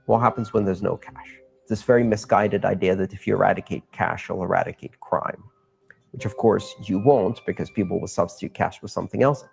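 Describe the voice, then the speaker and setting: A man's voice: medium pitch, vocal fry, darkish tone. A man who writes and speaks publicly, giving an argumentative talk and building his points by listing them.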